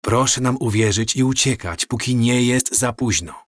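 A man playing an earnest time traveler in an audio drama, speaking gravely and in a measured, explanatory way. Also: mid-range voice, no echo, clean studio sound, close to an everyday voice. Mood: grave, urgent. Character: earnest married time traveler warning of coming catastrophe.

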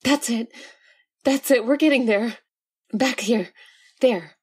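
A husky, mid-pitched voice plays a woman acting out a loyal young woman trapped underground. She pleads breathlessly, in the style of a vintage radio thriller. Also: slow, a little mellow. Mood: frantic. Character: devoted, frightened young woman in a tunnel. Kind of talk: old-time radio drama acting.

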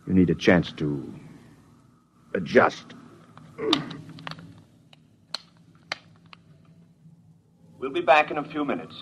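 An actor playing a brisk, kindly scout looking for survivors in a 1950s radio drama. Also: male voice, lowish voice, very animated, fairly bright tone, slight background noise. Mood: concerned. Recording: muffled old radio recording.

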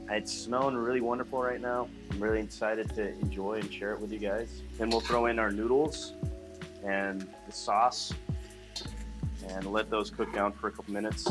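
A young man talking viewers through a recipe in a small studio kitchen set, relaxed and chatty, with music playing underneath. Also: lowish voice, normal speed. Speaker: cooking vlogger. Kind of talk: casual cooking demonstration. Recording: clear background music under voice.

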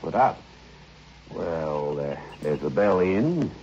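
A deferential railway ticket collector from an old radio play. His voice is deep and moves freely in pitch as he deliberates. The recording is muffled, with a little background noise. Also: big pitch swings, mellow, full character voice. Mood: helpful, deliberate. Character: polite, slow-considering male railway ticket collector. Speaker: actor in a radio drama. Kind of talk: old radio drama acting.

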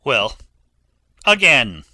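A man's voice: medium pitch, very animated, very slow, sharp tone, no echo, exaggerated voice. An actor playing a proud young nobleman with intense theatrical delivery in a Shakespeare audiobook. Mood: proud, combative. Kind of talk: dramatic Shakespeare audiobook reading.

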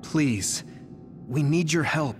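An actor playing an earnest, impassioned young hero in an anime-style video game. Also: male voice, on the high side, takes it slowly, over music. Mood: solemn appeal. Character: earnest young male hero rallying his team.

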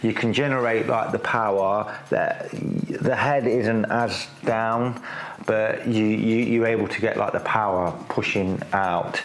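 A man's voice: on the low side, fairly even intonation, takes it slowly, faint music behind. A man in his forties, a cycling coach, explaining technique to camera in a loose, chatty way full of fillers and restarts, seated in a small room. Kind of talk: casual explanatory talk to camera. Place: small room with grey wall, seated in chair. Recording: echoey room.

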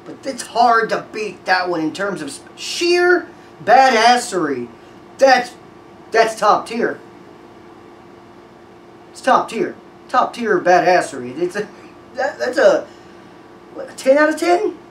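A young man hosting a music reaction, talking casually with excited, profane, rambling praise. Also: sits high, takes it slowly, pauses often, distant mic. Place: small room with red backdrop. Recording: echoey room, voice a bit off-mic, faint noise.

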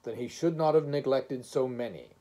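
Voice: medium pitch, normal speed, warm tone, natural voice. A reader voicing a stern, exacting unseen judge who speaks in a formal, dismissive way. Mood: stern, grudging.

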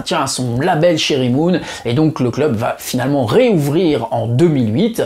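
A man in his thirties hosts his channel from home. He talks straight to the camera, chatty and animated, at an ordinary pitch. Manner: chatty, animated, many fillers. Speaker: YouTube host. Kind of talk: narrated video then interview. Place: home room, indoors.

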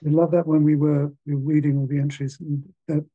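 Husky, even-toned male event host. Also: a little high, brisk, no room sound, narrow, tinny audio. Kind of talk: introducing readers at a literary event. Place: indoors at home. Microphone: video call.

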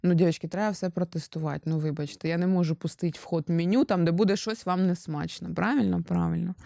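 Gravelly low-voiced Ukrainian woman. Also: fairly even intonation, fast talker, dark tone, dry recording, clean studio sound. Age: young adult. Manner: chatty, teasing, stumbles over words. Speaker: podcast host. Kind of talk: casual podcast interview with her husband.

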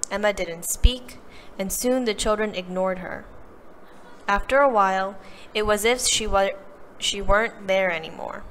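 A woman's voice: mid-range voice, big-room sound. A woman reads a children's picture book aloud expressively, acting out the characters' lines. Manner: animated delivery, acting out the characters' quoted lines. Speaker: storybook reader. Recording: clearly noisy background.

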